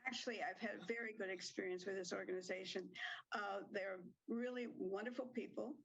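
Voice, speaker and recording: Gravelly-voiced woman theater director. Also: medium pitch, fairly even intonation, brisk, highs cut off. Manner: hesitant, many fillers. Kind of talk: interview answers.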